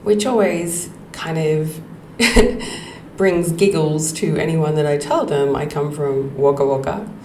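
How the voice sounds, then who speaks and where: A middle-aged woman hosting a podcast, telling her life story slowly and reflectively, with frequent ums and soft laughs. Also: low voice. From Australia. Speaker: podcast host. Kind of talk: personal storytelling monologue. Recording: echoey room with faint background noise.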